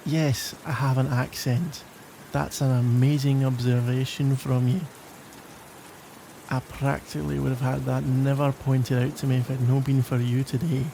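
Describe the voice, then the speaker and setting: Male actor as young Glaswegian tsundere: exasperated, teasing, secretly caring, mid-pitched with creaky edge, for ASMR roleplay. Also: level tone, moderate pace, mellow, slight background noise, close to an everyday voice. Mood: sarcastic, exasperated. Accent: Glaswegian Scottish. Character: tsundere young Glaswegian man, gruff, sarcastic, grudgingly caring. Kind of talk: scripted ASMR roleplay monologue.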